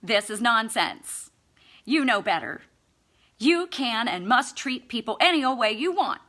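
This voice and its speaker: A woman's voice: on the high side, a bit bright. A woman reading a book aloud expressively, with a sarcastic, mock-earnest and theatrical delivery. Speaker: amateur audiobook reader.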